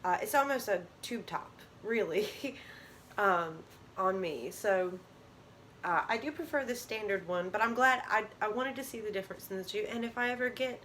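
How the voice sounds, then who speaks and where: A woman's voice: mid-range voice, a bit bright, a little hiss or hum. A young woman losing her voice, chatting casually to camera in a rambling way, with lots of ums and restarts. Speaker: vlogger. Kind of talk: casual product talk to camera.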